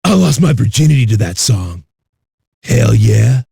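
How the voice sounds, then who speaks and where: An actor playing a cocky, crude, manic metal radio DJ with a raspy, shouty delivery. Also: male voice, mid-range voice, big pitch swings, a little slow, no echo, clean studio sound, full character voice. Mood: hyped, brash. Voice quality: raspy, gravelly. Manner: brash, profane, shouty. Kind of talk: hard rock radio DJ patter.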